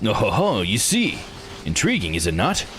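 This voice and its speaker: A man's voice: lowish voice, huge pitch swings, normal speed, a bit bright, a little hiss or hum. A man voicing a sly, courteous, inquisitive researcher in a visual novel, with a mannered, teasing delivery. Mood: intrigued, teasing. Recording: music behind the voice.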